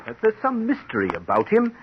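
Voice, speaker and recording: A noisy, muffled old radio drama recording. a formal, sensible gentleman, soothing and concerned, with a mid-pitched voice that rises and falls expressively. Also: wildly swooping pitch, fairly quick. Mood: grave, informative. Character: reasonable, concerned gentleman friend of the composer.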